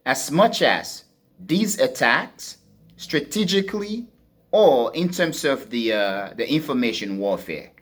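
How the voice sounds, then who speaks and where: Male podcast commentator, medium pitch, emphatic delivery with repetition for stress, thinking aloud through a monologue. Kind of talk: spoken geopolitical commentary, semi-improvised monologue.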